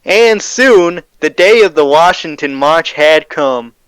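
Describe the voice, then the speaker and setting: A man's voice: on the high side, lively intonation, slow pace, fairly bright tone, smooth, no room sound, rough recording. A man playing a folksy, matter-of-fact interview guest in a 1920s-style radio show. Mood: solemn narrating. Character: folksy man recounting his undercover time in a hate group.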